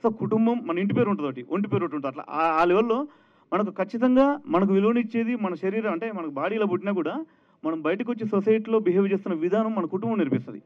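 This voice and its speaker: Thin, phone-like event audio carries a man speaking very quickly in a fairly high voice. He explains himself earnestly, as if answering the press. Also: animated. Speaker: film director.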